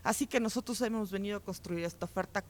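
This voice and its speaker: A Mexican woman speaking spontaneously in a live interview, expressive and repeating words for emphasis. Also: mid-range voice, quick pace, heavy background noise. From Chiapas, Mexico. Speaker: festival organizer, guest.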